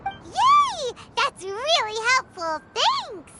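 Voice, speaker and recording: A woman voicing a tiny, excitable, childlike fairy companion with a squeaky voice in a video game. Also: drawn-out words, very noisy, full character voice. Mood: cheerful. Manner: childlike, refers to herself in the third person. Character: tiny excitable floating fairy companion girl, easily indignant.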